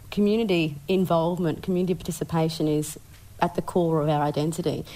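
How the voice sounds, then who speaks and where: A woman's voice: low-pitched, a little mellow, rough recording. A woman with an Australian accent speaking articulately as a guest on a panel. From Brisbane, Australia. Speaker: panelist, researcher.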